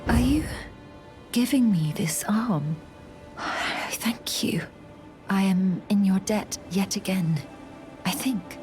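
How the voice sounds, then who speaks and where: An actress playing an earnest, stoic young swordswoman in a fantasy game, formal and measured, with breathy phrase endings. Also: mid-range voice, darkish tone, soft background music, close to an everyday voice. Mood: moved, grateful. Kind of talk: dramatic fantasy game acting.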